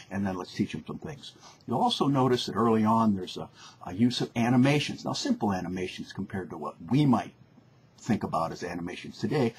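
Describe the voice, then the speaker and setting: A man with a fairly low voice explains like a lecturer, informal and instructive. He rephrases points for emphasis. There is faint noise behind him.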